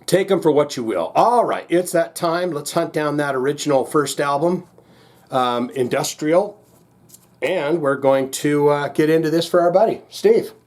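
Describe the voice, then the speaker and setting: A man's voice: fairly high pitch, a little slow, a little mellow. A man hosting a casual music reaction, thinking aloud in a rambling, jokey, slangy way.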